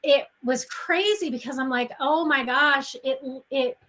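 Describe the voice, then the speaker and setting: A middle-aged woman with an American accent chats casually as a guest. Her voice is fairly high for a woman and strikingly bright and forward. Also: unhurried, slight background noise. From Colorado, United States. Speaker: interview guest, writer. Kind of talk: casual chat.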